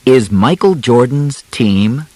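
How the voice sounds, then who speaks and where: A man reading a scripted audiobook in simple, measured phrases with clear pauses. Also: mid-range voice, expressive, darkish tone, no room sound, poor audio. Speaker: audiobook narrator. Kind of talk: scripted narration of a simplified biography for learners.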